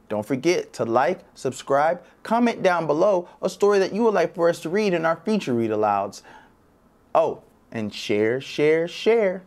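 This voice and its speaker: A man's voice: medium pitch, darkish tone. A man hosting a read-aloud show, speaking directly and encouragingly to parents as he wraps up. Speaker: children's read-aloud host. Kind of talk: friendly sign-off to viewers.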